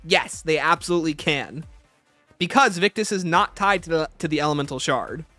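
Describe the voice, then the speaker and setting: A man's voice: on the high side, lively intonation, moderate pace, pushed and bright, faint music behind. A man hosting a casual livestream, reading out chat questions and answering them conversationally, breaking off to greet and thank viewers. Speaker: livestream host.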